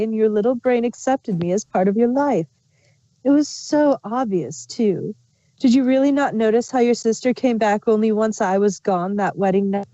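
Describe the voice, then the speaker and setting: A woman's voice: medium pitch, mellow, close to an everyday voice. A woman playing a sly, wistful changeling queen villain in a fan fiction reading. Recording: muffled, roomy home recording.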